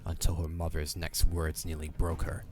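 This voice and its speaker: A man's voice: extremely low pitch, monotone, normal speed, music in the background. A man narrating a fantasy audio drama in a dramatic, scripted storytelling style.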